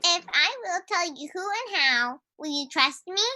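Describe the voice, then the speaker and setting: A woman's voice: extremely high pitch, animated, measured pace, pushed and bright, exaggerated voice. A woman voicing an uncanny, coaxing cat familiar in a live radio drama. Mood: coaxing, secretive.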